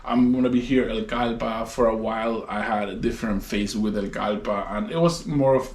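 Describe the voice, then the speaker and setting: A man's voice: on the low side, normal speed. Spanish-speaking man chatting in an echoey room. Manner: relaxed, chatty, laughs often. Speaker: musician, interview guest. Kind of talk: casual conversational interview. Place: at home. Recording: echoey room with faint noise.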